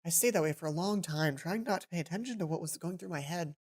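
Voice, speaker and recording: A woman's voice: fairly low pitch, fast talker, a little mellow, slightly rough. A woman doing a casual let's play, commenting playfully and reading visual novel text aloud. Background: game music behind the voice.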